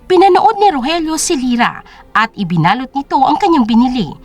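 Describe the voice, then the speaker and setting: High, animated female story narrator. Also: fairly quick, soft background music. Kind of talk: scripted dramatic narration.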